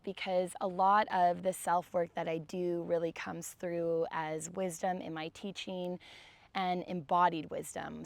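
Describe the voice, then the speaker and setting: A young woman with a raspy voice, teaching a guided yoga class in a calm, gentle way. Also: fairly low pitch, dry recording. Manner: calm, gentle guidance with soft sighs.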